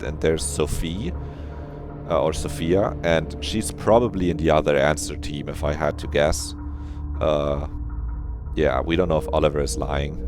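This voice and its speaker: A man hosting a livestream, chatting casually and rambling easily to his viewers. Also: rumbling low. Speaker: livestreamer and host. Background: background game music.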